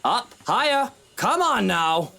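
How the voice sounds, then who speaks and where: Haughty wealthy husband, fussy, bossy, theatrical, high male voice, swinging intonation, video game character. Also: sing-song, slow, vocal fry, sharp tone, exaggerated voice. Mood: bossy, scornful. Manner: pompous, theatrical.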